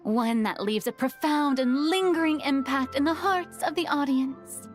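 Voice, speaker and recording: A woman's voice: high voice, a little slow, faint music behind. A woman voicing a refined, poised young lady in a video game, articulate and encouraging.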